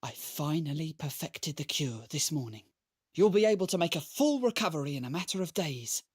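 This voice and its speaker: An actor playing an ailing, devoted young male scholar, speaking with earnest, formal intensity and a frail, breathy voice in a dramatic game style. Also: a little high, big pitch swings, moderate pace. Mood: anxious, pleading.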